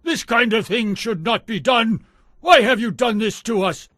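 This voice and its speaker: A man's voice: very high-pitched, unhurried, fairly bright tone, no room sound, studio quality, pitched above the performer's own voice, heavily acted. A man playing an emphatic, declamatory ancient king in a dramatized audio Bible. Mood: outraged, accusing. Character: indignant ancient king, pleading and accusing.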